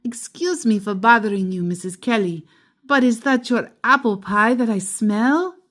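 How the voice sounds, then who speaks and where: A woman voicing a polite, warm-hearted grandmother in a children's storybook, with a courteous, coaxing manner. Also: a little high, normal speed. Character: polite, warm-hearted grandmother neighbour carrying food to share.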